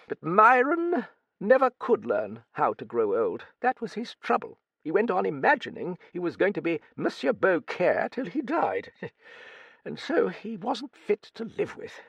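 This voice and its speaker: A blunt, hearty old woman who swears without a second thought, her voice pitched up high and swinging wildly with a gravelly edge, as a male narrator puts her on. She blusters along, cheeky and gossipy. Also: wildly swooping pitch, measured pace, a bit bright, no room sound, muffled recording, exaggerated voice. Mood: bluff, gossipy. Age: older.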